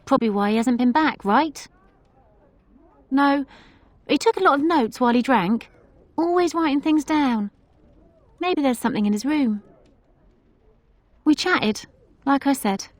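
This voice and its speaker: An actress playing a friendly, chatty tavern barmaid in a video game, with a casual, familiar manner. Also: female voice, sits high, animated, unhurried, pauses often, no room sound. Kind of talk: video game character acting.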